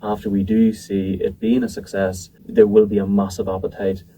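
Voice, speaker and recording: A man's voice: lowish voice, little pitch movement, moderate pace, dark tone. A man answering interview questions about a tech event in a casual, hesitant way, with many ums and restarts. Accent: not from New Zealand. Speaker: event organizer, interview guest. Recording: distant mic with faint noise.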